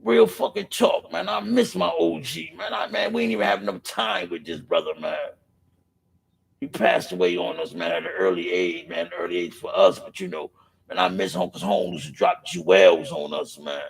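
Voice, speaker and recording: A middle-aged man with a raspy voice, speaking in an impassioned, profane monologue on a podcast, filling his pauses with "man" and circling back through restarts. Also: on the low side, animated, low-quality recording. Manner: rambling with restarts. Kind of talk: impassioned street-life monologue.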